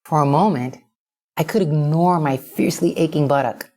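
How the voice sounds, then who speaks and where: Low-voiced woman narrating a story. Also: normal speed, mellow. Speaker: audiobook narrator. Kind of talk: first-person scripted story narration.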